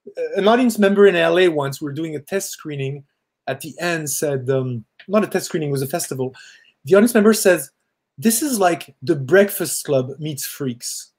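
A man's voice: on the high side, lively intonation, normal speed, a little mellow. A man in his forties with a Parisian French accent, a film director talking candidly and animatedly on a video call from a dim room at home. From Quebec, Canada. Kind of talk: casual interview talk. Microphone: earbud headset on a video call.

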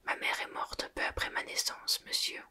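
A woman's voice: moderate pace, pushed and bright. A woman whispering a polite, uneasy teen boy prefect.

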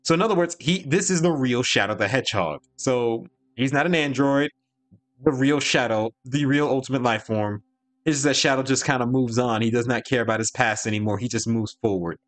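Brief: male reaction host, mid-pitched voice, casual off-the-cuff commentary. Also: fairly quick.

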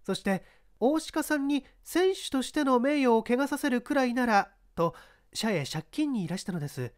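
Woman acting as an earnest, high-voiced young actress. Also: lively intonation, normal speed, dry recording, studio quality, lightly acted. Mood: earnest pleading. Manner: polite, earnest, pleading. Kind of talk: dramatised audiobook reading.

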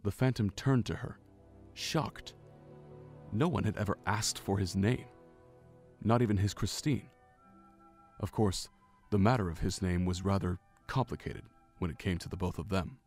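A man with a low voice narrates a scripted story. Faint music plays behind his reading. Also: normal speed, a little mellow.

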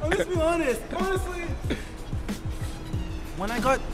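A man's voice: very high-pitched, animated, normal speed, over music. A man in his twenties in casual, playful chat with street slang, repeating phrases for comic effect, picked up by a handheld camera. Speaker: vlog guest, friend of host. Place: busy airport hall and outside its doors. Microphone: handheld camera close by.

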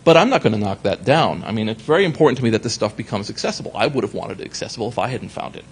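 A man's voice: lowish voice, animated, very fast, slight background noise. A man giving a conference talk, telling a story with profane comic asides and acted-out exchanges. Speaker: conference speaker. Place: conference hall.

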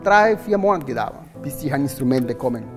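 Man, Spanish accent, native Spanish speaker, friendly, hesitant speech, clear music behind him. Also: medium pitch, lively intonation, fairly quick, big-room sound. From Venezuela. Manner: friendly, hesitant, many ähm fillers. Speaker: orchestral oboist, guest. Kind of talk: casual explaining. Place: stage set, indoors.

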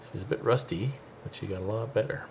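A man's voice: on the low side, quick pace, vocal fry, slight background noise. A middle-aged man narrating a home video of his family's day by a lake in an easygoing, chatty way. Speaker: father filming a family outing. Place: outdoors by a lake.

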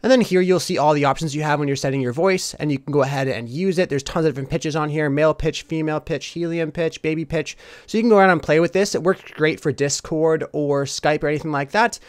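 Fast-talking man hosting a tutorial. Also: fairly high pitch, smooth. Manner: instructional, addresses viewers informally as "you guys". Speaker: tutorial host. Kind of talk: casual step-by-step software tutorial voice-over.

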